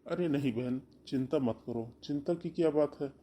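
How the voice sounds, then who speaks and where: A man's voice: medium pitch, moderate pace, dark tone, slight background noise, close to an everyday voice. A man voicing a kindly middle-aged brother in a cartoon who reassures his sister soothingly. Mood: reassuring. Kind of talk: cartoon voice acting.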